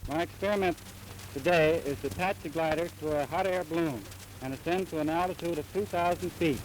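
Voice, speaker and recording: A man's voice: fairly high pitch, fairly quick, poor audio. A man, a glider pilot, reciting a stiff prepared statement for a 1930s newsreel. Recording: hissy old 1930s newsreel sound.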